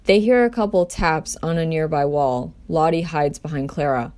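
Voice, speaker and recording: A woman's voice: deep voice, moderate pace, a little mellow. A woman doing an amateur table read as storyteller, narrating a script in her own voice.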